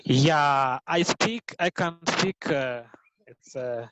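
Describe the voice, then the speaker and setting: A Rwandan man whose first language is Kinyarwanda, answering interview questions in careful, halting English with many fillers and restarts. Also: medium pitch, a bit bright, no echo, low-quality recording. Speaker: guest, business founder. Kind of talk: podcast interview.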